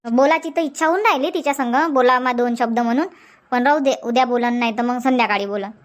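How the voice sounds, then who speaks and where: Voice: medium pitch, fast talker, lightly acted. An actor voicing a chatty, stubborn village housewife in a comedy cartoon, nagging insistently in a rural Vidarbha Marathi accent. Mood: chattering.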